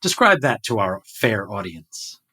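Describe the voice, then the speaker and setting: A man's voice: on the low side, very animated, unhurried, pushed and bright, breathy edge. A middle-aged man hosting a podcast, talking in an earnest, reflective way. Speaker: podcast host. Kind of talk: casual podcast chat.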